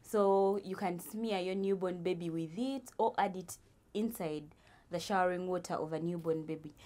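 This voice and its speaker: A young woman casually explaining cooking for a vlog, deliberate and often repeating her phrases. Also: lowish voice, warm tone. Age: young adult. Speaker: guest vlog presenter.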